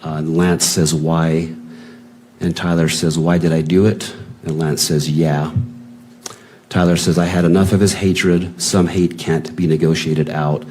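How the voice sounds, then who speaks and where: A man's voice: low-pitched, little pitch movement, unhurried, dark tone, a little hiss or hum. A man reading written messages aloud in a plain, unacted way, as in testimony at a hearing.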